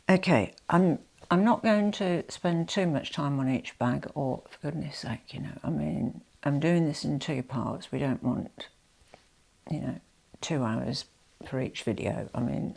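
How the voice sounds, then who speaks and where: A woman's voice: low voice, creaky. A woman chatting through a handbag collection vlog while nursing a head cold, sounding nasal and rambling with lots of asides. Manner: rambling, frequent "you know" asides, drops to soft near-whispers. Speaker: vlogger showing her handbag collection.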